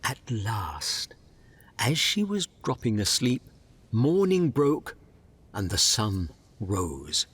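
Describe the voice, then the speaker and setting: A man's voice: lowish voice, wildly swooping pitch, takes it slowly, a bit bright, husky. A man narrating a fairy tale as a dramatic, scripted storyteller.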